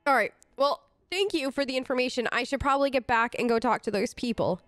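Woman, let's player, high voice, dry close sound, informal role-play chat. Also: fast, fairly bright tone, no echo. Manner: chatty, informal. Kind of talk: role-playing game playthrough, casual in-character dialogue.

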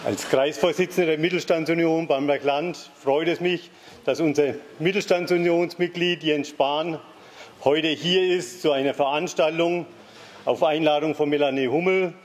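Older male chairman, formal welcome from notes, somewhat high voice, faint room noise. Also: steady tone, moderate pace. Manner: formal, reads from notes at a lectern. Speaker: local party chairman opening the event. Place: showroom stage at a lectern. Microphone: lectern mic.

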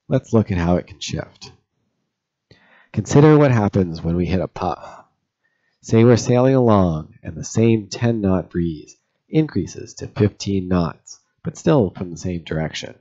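A man narrating a scripted sailing lesson in a calm, methodical way. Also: sits low, dull audio. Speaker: sailing instructor. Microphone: voice-over.

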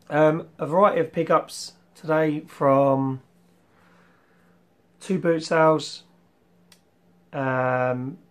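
A young man hosting a casual retro collection vlog, rambling with ums and clearing his throat, his voice slightly worn from losing it. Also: fairly high pitch. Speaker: retro game collector vlogger. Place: small room full of toy shelves, indoors.

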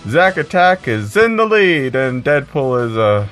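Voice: medium pitch, very animated, a little slow, a bit bright, soft background music. Amateur-sounding bowling announcer, acted by a performer: unsure, casual play-by-play with very varied intonation. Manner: hesitant, casual, rambling. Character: hesitant sports commentator at a silly bowling contest. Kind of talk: mock sports commentary.

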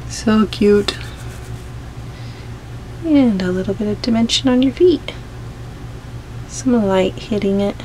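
A woman art teacher calmly talking through a step-by-step painting tutorial as she paints at a table. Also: mid-range voice, slight background noise, low-quality recording. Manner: gentle, unhurried explaining while painting. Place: home studio, overhead camera on painting table.

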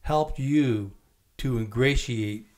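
A man's voice: mid-range voice, slow pace, a crowd in the background. Older man hosting an interview. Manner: affirming, guiding interviewer. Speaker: podcast host, interviewer. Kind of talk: interview questions. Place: small podcast studio. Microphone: studio mic on stand, headphones.

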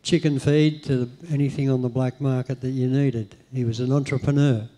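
A middle-aged Australian man speaking on stage into a handheld mic, halting with many ums as he answers interview questions. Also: mid-range voice, flat delivery, mellow. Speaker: guest speaker. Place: convention hall stage.

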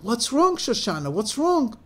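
A storyteller voicing an excitable, alarmed village mother in a folk tale, in a melodramatic manner. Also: mid-range voice, quick pace, pitched above the performer's own voice, close to an everyday voice. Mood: worried. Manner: melodramatic, shrieking.

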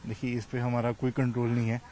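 A man's voice: mid-range voice, completely flat delivery, fast talker, dark tone. A man, answering interview questions as a sports official, mixing in English words, outdoors. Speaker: cricket association official, interviewee. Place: outdoors in a large empty cricket stadium. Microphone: handheld interview mic held toward him. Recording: clear outdoor ambient noise.

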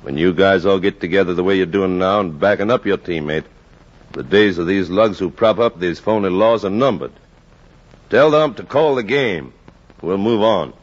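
Steady old-radio baseball coach, low husky voice, kinda muffled. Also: male voice, moderate pace. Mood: resolute. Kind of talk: 1940s radio acting. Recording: muffled 1940s radio recording.

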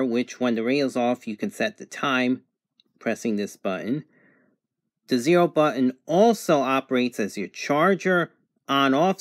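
A man's voice: medium pitch, no room sound, clean studio sound. A man giving a casual, plain, step-by-step product demonstration, as a reviewer recording indoors.

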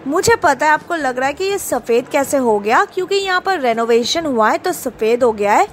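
A young woman with a high voice talks chattily into a close handheld camera, getting emotional as she shows her family's old flat. Her vlog sounds casual and personal, with a faint hum of the room behind her. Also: moderate pace. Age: young adult. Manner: chatty, emotional, mixes in English phrases. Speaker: YouTube vlogger. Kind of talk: casual home tour vlog. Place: inside an old flat, small bare rooms.

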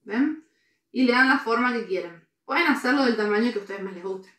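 A woman's voice: on the high side, lively intonation, a little fast, fairly bright tone. Young Argentine woman demonstrating, echoey kitchen. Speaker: cooking presenter. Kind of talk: step-by-step recipe demonstration. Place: kitchen, indoors. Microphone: camera a few steps away. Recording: echoey room.

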